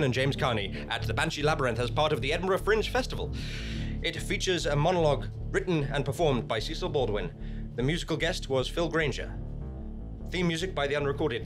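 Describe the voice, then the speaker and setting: A man giving scripted narration and closing credits for a comedy show before a live audience. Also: on the high side. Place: small fringe theatre stage, indoors. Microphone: stand microphone.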